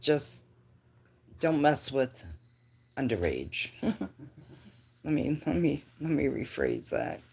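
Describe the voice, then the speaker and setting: A woman in her fifties chatting with her live viewers. Her voice sits low and she takes her time, often laughing and answering the chat. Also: huge pitch swings, slow pace, creaky, long pauses, darkish tone, slight background noise. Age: 50s. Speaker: livestream host.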